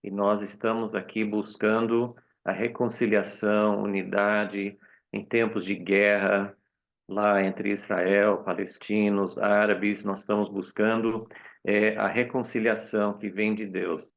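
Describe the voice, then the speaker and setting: A man hosting a prayer meeting, speaking slowly and deliberately with hesitant fillers. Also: on the low side, little pitch movement, vocal fry, highs cut off. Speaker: host of the meeting.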